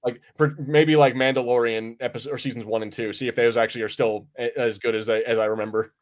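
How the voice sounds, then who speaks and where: A man's voice: medium pitch, fairly even intonation, fast. A man hosting a casual review podcast, rambling informally and swearing freely as he chats.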